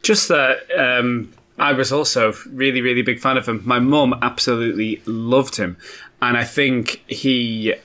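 A man co-hosting a podcast, chatting in an easy, unhurried way. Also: mid-range voice, fairly even intonation, pushed and bright. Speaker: podcast co-host. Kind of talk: casual podcast chat.

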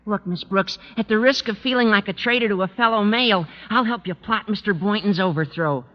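A man's voice: sits high, fairly quick, close to an everyday voice. A male actor playing an eager teenage schoolboy, earnest and gushing, in old-time radio comedy style. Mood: scheming, eager. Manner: earnest, gushing, gee-whiz adolescent. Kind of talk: 1940s-50s radio comedy acting. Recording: muffled old radio recording.

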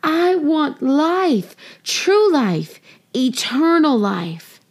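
A woman's voice: high-pitched, big pitch swings, drawn-out words, voice pushed up, heavily acted. A woman voicing an earnest, eager young boy pilgrim in a children's storybook reading. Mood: desperate shouting.

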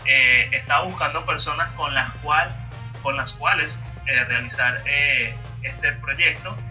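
A Venezuelan man in his twenties hosts a podcast with a very bright, slightly high voice, chatty and animated. He sits a bit away from the mic. Faint music plays behind him. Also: measured pace, distant mic. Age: 20s. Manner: chatty, self-correcting, animated. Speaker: podcast host and radio announcer. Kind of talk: casual podcast chat.

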